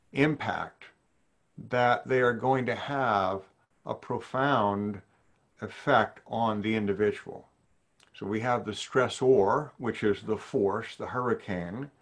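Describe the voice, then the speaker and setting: An older man from New Orleans, a psychiatrist giving a measured webinar lecture to physicians over slides, often posing questions to his listeners, heard through a webcam in his home study. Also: mid-range voice. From New Orleans, USA. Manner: measured, thoughtful, poses questions to the audience. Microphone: video call webcam.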